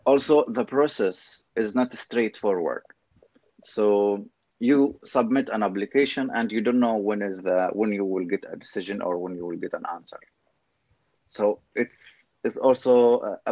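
A man's voice: on the low side, darkish tone, no echo, muffled recording. A man from Syria with a Syrian Arabic accent, speaking English with many fillers and restarts in an interview. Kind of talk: casual interview.

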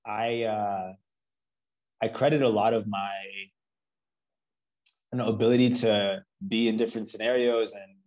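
A man in his thirties from Canada and Florida, speaking as a founder and podcast guest, in a relaxed conversational way with hesitant restarts. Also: lowish voice, a little slow, pauses often, poor audio. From Canada and Florida, USA. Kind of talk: podcast interview.

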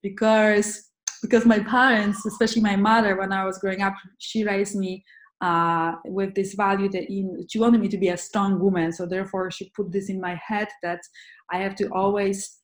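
A woman from Poland, speaking as an interview guest in an earnest, halting way with many restarts. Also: mid-range voice, level tone. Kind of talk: conversational interview. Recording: roomy echo.

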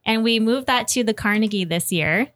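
A woman's voice: fairly high pitch, moderate pace, fairly bright tone, dry recording. Enthusiastic woman hosting, dry close sound. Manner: enthusiastic, effusive. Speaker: podcast host. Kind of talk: casual, conversational interview.

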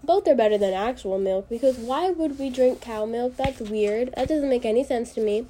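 A woman's voice: a little high, moderate pace, mellow, a little hiss or hum. A young woman hosting a casual solo podcast, congested from allergies, rambling and joking at her own expense with lots of fillers. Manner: rambling, self-deprecating, full of fillers. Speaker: podcast host.

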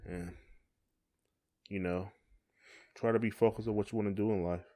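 A man's voice: lowish voice, steady tone, normal speed, warm tone. A middle-aged man, chatting casually as a podcast co-host in a folksy, encouraging way.

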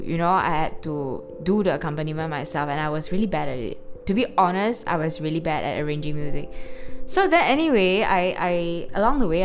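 Chatty young woman, low voice, music behind. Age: early 20s. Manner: chatty, rambling, full of fillers and restarts. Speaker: podcast host. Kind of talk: casual personal monologue. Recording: background music clearly audible.

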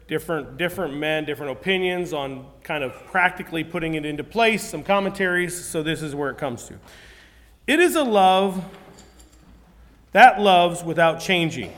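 A high-voiced man gives a sermon in an earnest, conversational way, often stopping to restart his sentences. His voice rings out with a long reverb behind it. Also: a bit bright. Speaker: preacher.

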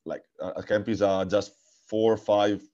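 A man with an Italian accent, a guest answering interview questions, speaking haltingly with many repeated words and restarts. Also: fairly low pitch, flat delivery, dark tone, muffled recording. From Italy. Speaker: guest, futsal coach and technical director.

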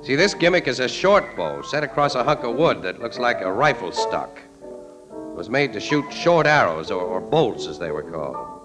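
An actor playing a wry, worldly American newspaper photographer, slangy and halting, in a 1940s radio drama. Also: male voice, medium pitch, very animated, music in the background, close to an everyday voice. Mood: explaining. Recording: vintage radio broadcast recording.

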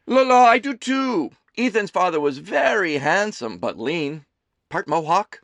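A man voicing a doting, gossipy, middle-aged mother who runs a diner, loud and theatrical. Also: big pitch swings, a little slow, vocal fry, dry recording, heavily acted. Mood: teasing. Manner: loud, theatrical, high chirpy voice.

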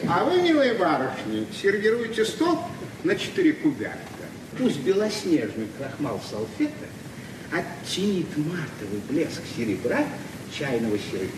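Courtly, quizzical male neighbour, florid and playful; high, animated voice on noisy radio recording. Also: normal speed, reverberant, far from the mic. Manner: florid, polite, quizzical, theatrical. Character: courteous, old-fashioned, whimsical male neighbour enjoying new slang. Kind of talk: radio play acting.

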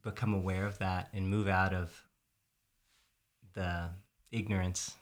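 A man's voice: sits low, flat delivery, measured pace. A man from South Texas, a podcast host, talking reflectively in a casual conversation and searching for his words.

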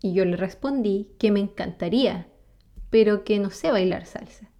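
A woman's voice: mid-range voice, normal speed, mellow. A woman from Chile with a Chilean accent, explaining ideas in a friendly way. She often asks the listener questions and laughs between points. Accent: Chilean Spanish. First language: Spanish. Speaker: vlogger. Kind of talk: explanatory talk to camera.

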